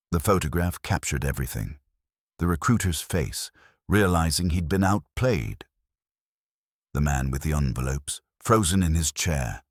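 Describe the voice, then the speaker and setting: Very deep, husky male true-crime narrator. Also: no echo, clean studio sound. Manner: dramatic, clipped storyteller phrasing.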